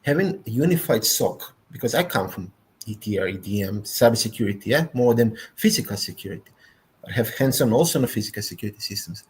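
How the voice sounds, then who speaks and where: A man's voice: lowish voice, animated, fast. A man with a Croatian accent, a native Croatian speaker, speaking as a panelist in a technical discussion, emphatic and often ending points with "yeah?".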